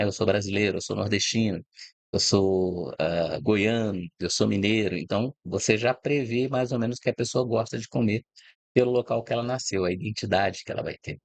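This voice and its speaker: Brief: middle-aged Brazilian man, low voice, dry close recording, relaxed explainer who keeps checking the listener follows. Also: no room sound. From Brazil, born in Rio de Janeiro. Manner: reflective, explanatory, frequent "né?" and "tá?" tags. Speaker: host of a channel about food and agribusiness. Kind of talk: informal spoken talk to camera.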